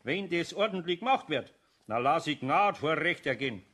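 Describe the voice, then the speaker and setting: An older Bavarian craftsman in a radio play, with a fairly high male voice. He grumbles and scolds in broad dialect, yet stays good-natured underneath. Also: expressive, moderate pace, fairly bright tone, slightly rough. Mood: gruff, relenting. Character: gruff but kindly older master craftsman. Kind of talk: children's audio play acting.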